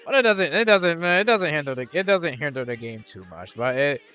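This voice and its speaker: A man's voice: fairly high pitch, expressive, a little fast, sharp tone, clean tone, faint music behind. A man hosting a gaming channel, rambling through casual gameplay commentary with lots of restarts and fillers. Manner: rambling, many restarts and fillers, laid-back.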